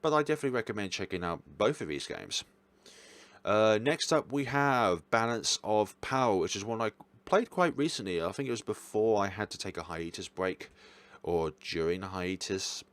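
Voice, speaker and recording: A man's voice: lowish voice, animated, moderate pace. A man giving a casual, unscripted review as a channel host, rambling and correcting himself with apologetic asides. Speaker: visual novel reviewer hosting own channel.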